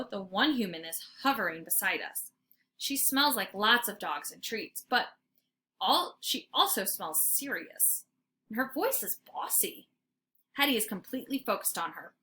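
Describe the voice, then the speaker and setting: A woman's voice: mid-range voice, sharp tone, slight background noise. A woman reading aloud as a teacher would, narrating a children's story expressively with dramatic emphasis.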